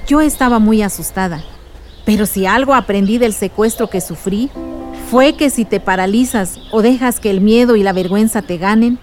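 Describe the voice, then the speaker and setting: Una actriz de radionovela que interpreta a una tía resuelta que cuenta y explica sus vivencias a su sobrina. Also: female voice, medium pitch, moderate pace, a little mellow, faint music behind, natural voice. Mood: earnest, instructive. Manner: storytelling, explanatory. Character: aunt recounting past dangers to her niece, warm, resolute. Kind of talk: radio drama acting.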